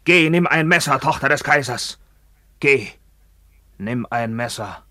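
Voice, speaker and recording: A man's voice: expressive, measured pace, loud music behind the voice, close to an everyday voice. A man playing a bitter, maimed Roman back from captivity, declaiming with grim command in a radio drama. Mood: ominous, raging. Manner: declamatory, grim, swelling from low murmurs to fierce cries. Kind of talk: theatrical German radio drama acting.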